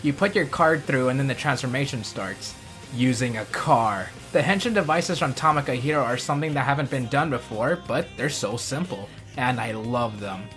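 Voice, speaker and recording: Man, scripted countdown commentary, wry asides, average pitch, faint music behind. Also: lively intonation, normal speed, fairly bright tone. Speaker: host of a countdown review video.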